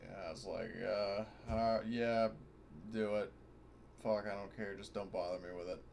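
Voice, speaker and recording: A man playing a tabletop game over a voice call, chatting casually in a halting, sweary way. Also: fairly low pitch, steady tone, gravelly, darkish tone. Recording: noisy online voice call.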